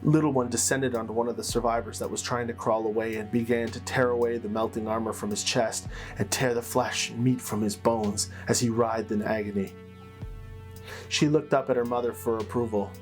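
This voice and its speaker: A man with a medium-pitched voice narrates fantasy lore like a game master at the table. His telling is dramatic but conversational. Soft plucked-string music plays behind him. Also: steady tone, brisk. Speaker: storyteller, game master and channel host.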